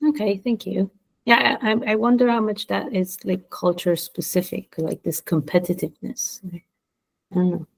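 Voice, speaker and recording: A woman's voice: medium pitch, steady tone, normal speed, vocal fry, breathy edge. A woman asking questions in an informal academic discussion, thinking aloud tentatively and repeating her words. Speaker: seminar participant asking questions.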